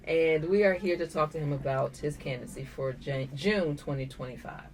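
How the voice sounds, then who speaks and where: Woman, 30s, interviewer, chatty, deep voice, somewhat distant from the mic. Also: slight background noise. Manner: chatty, quick affirmations. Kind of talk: casual interview.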